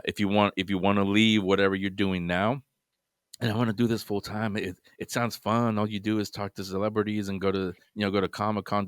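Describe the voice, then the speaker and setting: Low-voiced man giving earnest advice. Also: a bit bright, no echo, studio quality. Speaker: podcast guest. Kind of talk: casual podcast chat.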